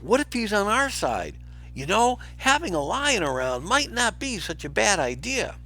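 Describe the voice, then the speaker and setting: A man voicing an excitable, bossy, fast-talking meerkat sidekick in an exclamatory way for a storybook. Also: sits high, faint music behind, voice pushed up, exaggerated voice. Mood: excited.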